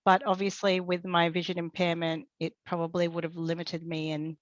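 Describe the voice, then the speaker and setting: A woman's voice: lowish voice, level tone, normal speed, no room sound. A woman who runs a small business, talking about her own story in a chatty way with lots of fillers. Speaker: panelist, small business owner. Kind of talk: casual personal account. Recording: dry, dull lo-fi audio.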